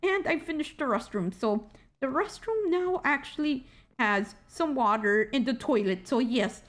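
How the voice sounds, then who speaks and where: Squeaky high-voiced woman rambling through a tour. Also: animated, a little slow. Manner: rambling, self-correcting, joking asides. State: tired. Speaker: gaming channel host. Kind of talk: casual game walkthrough commentary. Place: indoor room at a computer.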